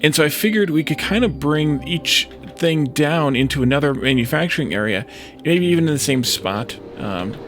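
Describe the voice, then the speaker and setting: A man giving unscripted gameplay commentary as a let's play host, thinking aloud in a hesitant, halting way. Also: a little high, animated, fairly bright tone. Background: clear background music.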